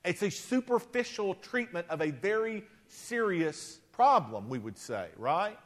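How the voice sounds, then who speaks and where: Forties male pastor, high pitch, chatty self-deprecating sermon, long hall reverb. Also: very animated, measured pace. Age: 40s. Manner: conversational, self-deprecating, frequent "right?" asides.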